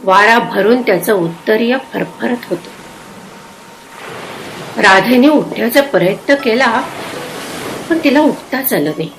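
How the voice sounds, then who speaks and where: A woman narrating a literary story in a dramatic, expressive and reflective way. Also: fairly high pitch, measured pace, long pauses, a little hiss or hum, low-quality recording.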